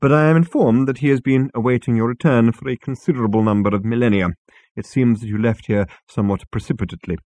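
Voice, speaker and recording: A man voicing a polite, formal alien waiter who speaks slowly and deferentially. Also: mid-range voice, fairly even intonation, dark tone, dry recording, lightly acted.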